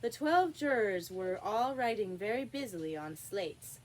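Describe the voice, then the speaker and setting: A woman reading a children's classic aloud as an expressive storybook narrator. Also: mid-range voice, unhurried, a little hiss or hum, low-quality recording. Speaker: storybook reader.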